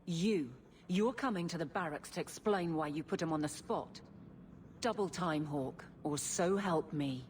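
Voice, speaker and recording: An actress playing a blunt, earnest woman guard captain who gets flustered and halting, in cinematic video game dialogue. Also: deep voice, a little mellow, a little hiss or hum. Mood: flustered, irritated.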